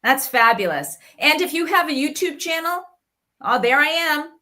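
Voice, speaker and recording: A woman's voice: high voice, moderate pace. Boston-accented woman telling a personal story. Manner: animated storytelling, quoting others. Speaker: guest. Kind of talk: livestream conversation.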